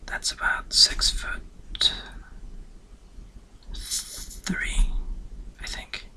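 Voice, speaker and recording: A man's voice: extremely slow, bright, forceful tone, rough recording. A man in his twenties from Norway, whispering a rambling, self-deprecating life story for an ASMR video. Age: 20s. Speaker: ASMR channel host.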